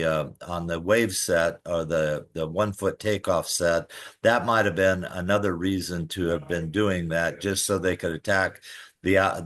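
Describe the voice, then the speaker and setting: A man with a low, deep voice gives sports analysis on a podcast. He talks in a measured, explanatory way, pausing as he works through each point. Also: fairly bright tone.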